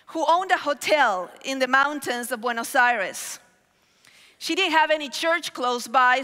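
Lively woman preaching in a hall. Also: high-pitched. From Argentina. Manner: animated storytelling with gestures, calls on the crowd. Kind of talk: sermon. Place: large hall stage with audience. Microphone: headset mic. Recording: reverberant large hall.

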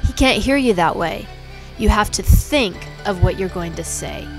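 A man voicing a calm teammate in a narrated story, explaining and reassuring. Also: sits high, moderate pace, soft background music, voice pushed up. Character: calm, advising teammate with lightened voice. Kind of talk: acted character in narrated fan story.

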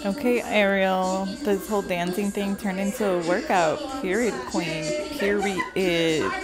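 A woman's voice: mid-range voice, fairly even intonation, a little slow. A young woman vlogging in a casual, laid-back way, laughing often and slipping in Spanish words. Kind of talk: casual vlog chat. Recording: phone vlog with loud music over it.

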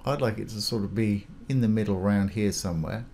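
A man hosting a casual step-by-step software tutorial, informally talking through each action as he goes. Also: fairly low pitch, fast. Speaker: tutorial host. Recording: clear background noise, keyboard typing.

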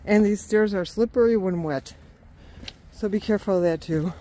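A woman's voice: mid-range voice, normal speed, mellow, slight background noise. A woman walking an outdoor wooded trail and filming with a handheld phone, talking casually and exclaiming often, out of breath from the climb. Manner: chatty, exclaims often, laughs. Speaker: vlogger.

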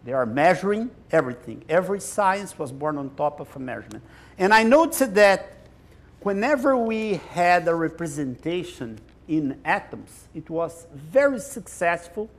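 A man in his sixties from Brazil with a Brazilian Portuguese accent, speaking on a conference panel in a slow, deliberate, emphatic way with pauses, on a lapel mic. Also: on the high side, expressive. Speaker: panelist, chief scientist. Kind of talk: panel discussion. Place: conference stage.

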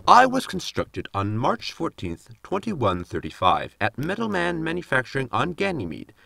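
A man's voice: medium pitch, huge pitch swings, moderate pace, gravelly, pushed and bright, no echo, studio quality, full character voice. A man playing a blunt, literal android servant in a sci-fi comedy audio drama, formal and matter-of-fact. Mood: matter-of-fact explaining.

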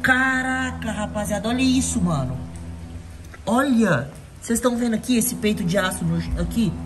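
A man's voice: sits high, normal speed, music in the background. A young Brazilian man vlogging in a car and parking garage, talking animatedly to his viewers on a handheld camera. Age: young adult. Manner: animated, exclaims a lot, addresses viewers as rapaziada. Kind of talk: excited vlog narration to viewers.

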